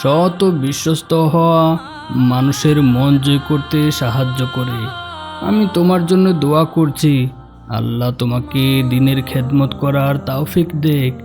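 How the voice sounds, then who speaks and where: A man voicing a kindly, pious old village uncle in a children's cartoon, speaking gently and earnestly. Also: medium pitch, a little slow, music in the background, close to an everyday voice. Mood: grateful, blessing. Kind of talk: children's moral cartoon dub.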